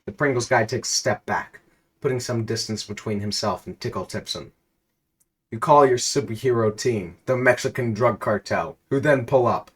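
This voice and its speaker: A man reading a silly, absurd story aloud in a deadpan narrating voice, now and then cracking up. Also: medium pitch, moderate pace, slightly rough. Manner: deadpan narration, breaking into laughter.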